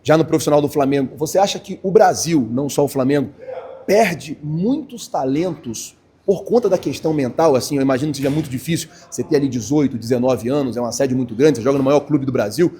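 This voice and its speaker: Fast, husky man reporter. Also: mid-range voice, dark tone. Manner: long, wordy questions. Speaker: sports reporter. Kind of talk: post-match interview questions.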